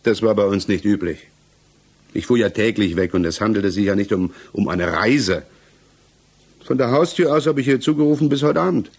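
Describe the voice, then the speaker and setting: A troubled suspect being questioned in an old radio crime drama. His voice is low and breathy-rough. He justifies himself haltingly and stays on the defensive. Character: defensive, troubled male suspect under interrogation. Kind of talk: German 1960s radio play acting.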